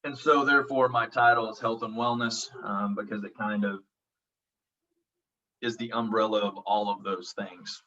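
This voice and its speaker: Bright-voiced Oklahoma man teaching online. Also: on the low side, steady tone, moderate pace. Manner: reassuring, frequent ums, checks in with "okay?". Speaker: college instructor. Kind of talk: casual online class introduction. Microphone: video call. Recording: dull lo-fi audio from a distance.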